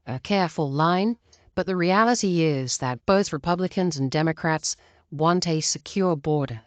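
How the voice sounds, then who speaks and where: A woman delivers earnest political commentary in a fairly low voice. The sound is dry and close, with little room around it. She argues her side with emphasis, speaking straight to her audience as host. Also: no echo. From outside the United States. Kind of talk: opinionated political commentary to viewers.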